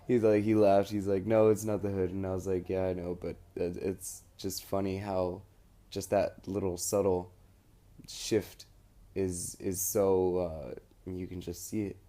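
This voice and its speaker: Young American male for audio diary: lowish, very mellow voice, slangy, loose, unscripted delivery. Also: little pitch movement, measured pace. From United States. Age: young adult. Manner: rambling, informal, slangy. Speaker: student recording an audio log abroad. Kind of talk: casual spoken diary.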